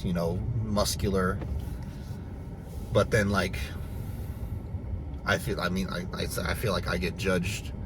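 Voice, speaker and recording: Young man, very deep voice, hesitant confused vlog rant, halting speech, noisy background with passing vehicles. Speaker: vlogger.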